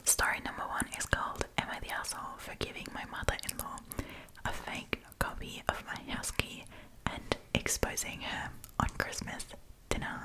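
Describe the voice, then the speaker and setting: A woman hosting an ASMR storytime, reading and chatting in a soft, breathy whisper with a gossipy, friendly manner. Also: poor audio. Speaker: host of a storytime channel.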